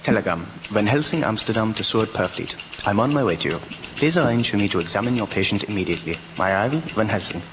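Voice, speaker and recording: An actor playing a grave, urgent old professor in a radio drama, speaking emphatically in halting phrases. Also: male voice, fairly low pitch, animated. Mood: brisk, urgent. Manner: halting foreign syntax, emphatic. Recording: noisy amateur local radio recording.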